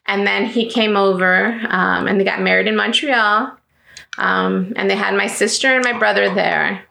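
A woman in her thirties from South Florida, whose first language is Greek, telling her life story in a relaxed interview and filling her talk with "um" and "you know". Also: medium pitch, moderate pace, gravelly, roomy sound. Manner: chatty, many ums and you-knows, laughs easily. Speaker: interview guest.

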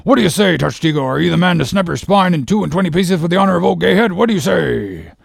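A fiery sailor, acted by a male narrator barks insults and challenges at his crew. The voice is raised and extremely gravelly, swinging widely in pitch in this storybook reading. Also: animated, fast talker, no echo, clean studio sound, full character voice. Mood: fierce, goading. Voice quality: gravelly, raspy. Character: gruff, fiery whaleboat mate hurling insults.

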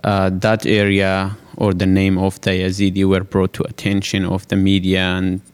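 A man in his thirties from Iraq, telling his personal story haltingly at a live panel event. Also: sits low, completely flat delivery, clear, resonant tone. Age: 30s. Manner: halting, with frequent restarts and fillers. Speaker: panel speaker.

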